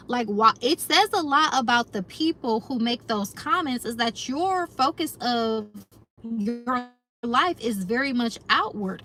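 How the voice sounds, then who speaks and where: Chatty American woman, higher voice. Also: a bit bright, a little hiss or hum. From United States. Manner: chatty, addresses viewers as y'all, reflective. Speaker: lifestyle vlogger. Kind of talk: casual chat to viewers.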